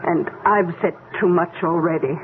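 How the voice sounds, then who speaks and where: An actress playing a brisk, weary woman contact who is trapped abroad, in a 1970s radio drama. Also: on the low side, music in the background, close to an everyday voice. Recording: narrow, phone-like old radio broadcast.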